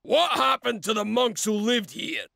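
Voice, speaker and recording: A man voicing a blunt, loyal and suspicious warrior sidekick in a fantasy video game, with a gravelly, excitable voice. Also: high-pitched, a little slow, no room sound, studio quality, heavily acted. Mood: matter-of-fact.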